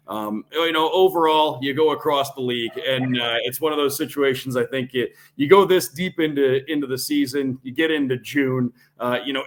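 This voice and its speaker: A man who calls play-by-play on radio, a guest on a sports podcast, talking in a measured, thoughtful way with some fillers over a remote call. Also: mid-range voice, fairly bright tone. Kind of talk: casual analytical sports chat.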